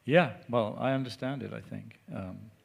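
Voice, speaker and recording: A man moderates a forum panel. He presses the speaker with short, probing follow-up questions in a plain, even voice. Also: fairly low pitch, normal speed, vocal fry, husky. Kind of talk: panel discussion.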